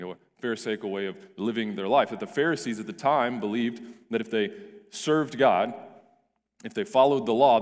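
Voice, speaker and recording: A male pastor with a hoarse, deep, raspy voice, praying and then preaching earnestly, often restarting and repeating words. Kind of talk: prayer and sermon. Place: church sanctuary. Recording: reverberant church hall with soft music.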